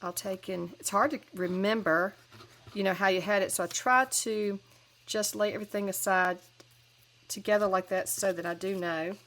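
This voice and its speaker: A woman's voice: medium pitch, fairly even intonation, moderate pace, fairly bright tone. A woman with a head cold, chatting casually through a crafting tutorial with lots of fillers and restarts. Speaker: crafting channel host.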